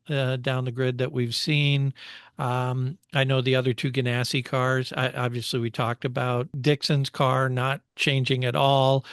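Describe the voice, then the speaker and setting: A man's voice: mid-range voice, a bit bright, clear, resonant tone, dry recording, clean studio sound. A man co-hosting a motorsport show in casual, conversational and analytical discussion.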